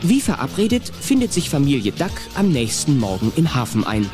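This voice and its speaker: A man's voice: medium pitch, wildly swooping pitch, fairly quick. A man narrating a children's cartoon audio drama as a storyteller. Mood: dramatic storytelling. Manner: storytelling, announcing. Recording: music bed behind the voice. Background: music.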